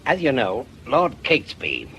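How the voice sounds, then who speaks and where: A man's voice: medium pitch, wildly swooping pitch, a little slow, sharp tone, a little hiss or hum, heavily acted, rough recording. An actor playing an older, embittered retired policeman in a radio drama, with a blunt, insistent way of talking. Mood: grim, urgent.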